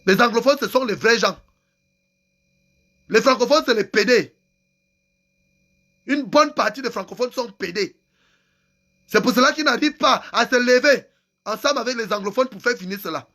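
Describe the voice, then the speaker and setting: A man's voice: extremely high pitch, normal speed, pauses often, pushed and bright. A man giving an angry, impassioned political rant, hammering home repeated phrases. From Cameroon. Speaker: activist vlogger.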